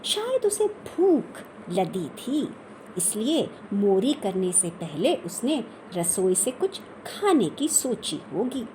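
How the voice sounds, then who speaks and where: A woman reading a humorous children's story aloud in an expressive, deliberate storytelling manner. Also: medium pitch, slight background noise, low-quality recording. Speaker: audiobook reader.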